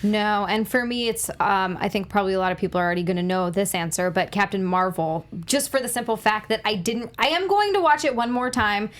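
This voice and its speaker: A woman with a medium-pitched voice chats casually as a talk show panelist. Her delivery is conversational, and she is frank and blunt with her opinions. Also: a little fast, hardly any pauses. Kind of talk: casual chat.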